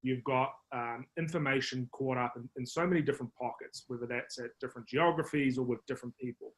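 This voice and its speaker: A man with a New Zealand accent, speaking at a middle pitch and explaining his business at length. He talks in a measured way, with plenty of ums and restarts, as a podcast guest. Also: steady tone, low-quality recording. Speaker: marketing executive guest.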